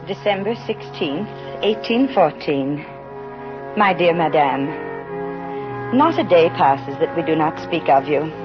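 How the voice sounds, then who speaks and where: Gentle old lady, muffled recording. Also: low voice, animated, unhurried, over music. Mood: devoted, serene. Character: gentle, devout old woman, the bishop's sister. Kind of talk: letter read aloud, 1930s radio acting.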